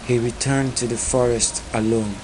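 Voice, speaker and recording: A man narrating a dark epic folktale in a solemn, dramatic storytelling manner. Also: mid-range voice, fairly even intonation, normal speed, background noise.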